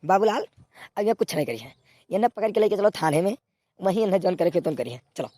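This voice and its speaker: A woman's voice: fairly low pitch, lively intonation, quick pace, warm tone, no room sound. Woman voicing a scolding village cartoon policeman, dry recording. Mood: angry, commanding. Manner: scolding, repetitive commands. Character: exasperated, bossy male village policeman at a checkpoint. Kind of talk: comic cartoon dialogue.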